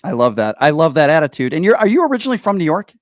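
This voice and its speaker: A man's voice: on the high side, very animated, rapid-fire, no room sound, clean studio sound. A man from Connecticut hosting an interview, effusive and affirming toward his guest. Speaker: podcast host. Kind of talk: interview conversation.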